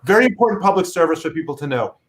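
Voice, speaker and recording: A man's voice: on the high side, sing-song, very fast, slight background noise. A middle-aged man, a physician guest, explaining earnestly and patiently with examples on a video call.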